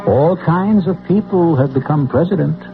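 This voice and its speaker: A man's voice: a little high, normal speed, vocal fry. An American man as a radio drama host, giving scripted suspense narration in a wry, theatrical, rhetorical manner. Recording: muffled old radio broadcast, music.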